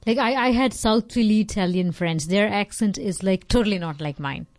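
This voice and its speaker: A woman's voice: medium pitch, moderate pace, darkish tone. A woman from India with an Indian English accent, chatting on a radio show in a conversational, explanatory way. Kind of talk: casual on-air radio chat. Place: radio studio.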